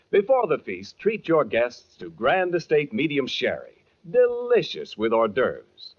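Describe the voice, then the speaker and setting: A dull vintage radio recording of an American man announcing a commercial. His fairly high voice swings widely in pitch, with the polished, emphatic formality of 1940s advertising.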